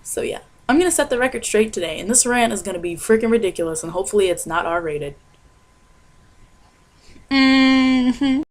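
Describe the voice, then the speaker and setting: Young woman, from Maryland, animated, dramatic, self-mocking, webcam vlog, small bedroom. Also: a little high, normal speed. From Maryland, USA. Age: young adult. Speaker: vlogger. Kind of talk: comic personal rant to webcam. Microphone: webcam at desk.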